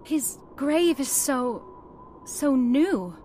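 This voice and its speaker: A woman performs a young heiress in an old-time radio horror drama. Her voice is high and very animated, dramatic and disbelieving, full of insistent distress. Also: very slow, noisy background, full character voice. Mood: shocked. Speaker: actor in audio horror drama.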